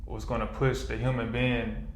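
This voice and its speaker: A man's voice: medium pitch, level tone, normal speed, a little hiss or hum. A man speaking casually as an interview guest, in a conversational way that often checks the listener is following. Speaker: filmmaker and actor, podcast guest. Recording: roomy, echoing room sound.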